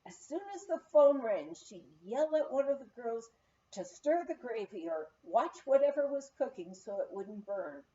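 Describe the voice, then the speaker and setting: Lady reading a memoir out loud, highish soft mellow voice, really animated, bit lo-fi. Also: normal speed. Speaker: audiobook reader. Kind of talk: memoir read aloud, storytelling. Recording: slightly dull, lo-fi recording.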